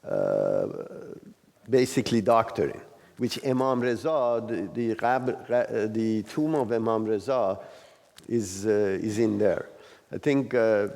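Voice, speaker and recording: A man facilitating a class discussion, explaining informally and haltingly as he searches for words. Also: on the low side, lively intonation, creaky, darkish tone. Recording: reverberant hall.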